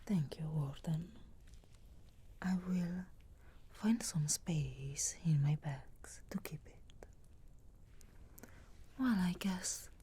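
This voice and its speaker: A woman voicing a sarcastic, guardedly caring witch of the wilds in a slow, breathy whisper for an ASMR fantasy roleplay. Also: deep voice, warm tone, exaggerated voice. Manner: slow, measured, archly formal phrasing.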